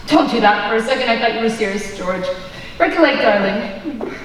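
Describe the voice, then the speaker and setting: Brisk theatrical young woman as stage manager, echoing hall. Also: a little high, expressive, lightly acted. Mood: gushing, dramatic. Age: young adult. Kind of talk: stage play acting. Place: high school auditorium stage. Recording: reverberant hall from far off, faint noise.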